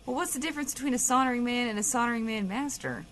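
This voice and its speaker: A woman's voice: fairly high pitch, rapid-fire, a bit bright, a little hiss or hum, lightly acted. A woman playing a sardonic, impatient radio co-host in scripted comedy banter. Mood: dry, probing.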